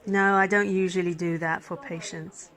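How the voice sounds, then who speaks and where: A woman's voice: lowish voice, little pitch movement, moderate pace, a bit bright, lightly acted. A woman playing a caring, smitten emergency physician in an intimate ASMR roleplay, speaking tenderly and soothingly. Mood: shy, affectionate. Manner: tender, soothing, flustered, dropping into breathy whispers. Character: caring, smitten female emergency physician tending a crash patient. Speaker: roleplay voice performer. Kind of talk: ASMR roleplay acting, intimate one-sided dialogue.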